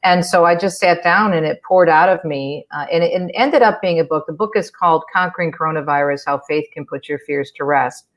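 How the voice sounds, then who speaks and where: A woman who grew up in Michigan speaks as a guest, telling long stories in a talkative, easy flow. Her voice sits very low for a woman. She has the practiced ease of someone who works on radio. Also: brisk, hardly any pauses, poor audio. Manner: talkative, storytelling, warm restarts. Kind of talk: casual interview chat.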